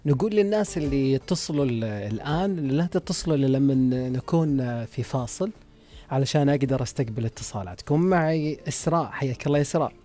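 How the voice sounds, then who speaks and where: A man's voice: mid-range voice, lively intonation, fast talker, dark tone, soft background music. A man hosting a radio call-in show, asking callers questions and listening patiently.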